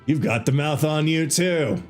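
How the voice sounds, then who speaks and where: A man's voice: a little high, soft background music, natural voice. A man voicing a smug, bigoted gate guard in a fantasy game, drawling his mockery with relish. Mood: smug, condescending. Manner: smug, drawling, cackling, drawn-out words. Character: gloating, bigoted male gate guard, mocking captors and prisoners.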